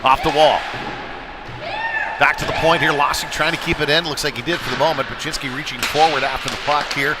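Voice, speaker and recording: A man's voice: fairly high pitch, steady tone, a little fast. A man doing live play-by-play commentary of a youth ice hockey game, in a folksy, home-team way. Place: indoor ice rink, at the game. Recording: loud rink noise with puck slams. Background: loud rink ambience, bangs.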